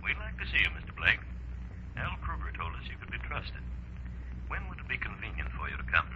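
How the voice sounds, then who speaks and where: An actor playing a courteous, authoritative bank president who secretly runs a racket, formal and businesslike in a 1930s radio drama. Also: male voice, lowish voice, huge pitch swings, brisk. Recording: muffled, hissy 1930s radio recording.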